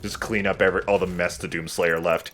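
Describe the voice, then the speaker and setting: A man's voice: sits low, flat delivery, a little fast, fairly bright tone, slight background noise. A man giving casual, joking commentary while he plays a video game. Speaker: let's play host playing the game.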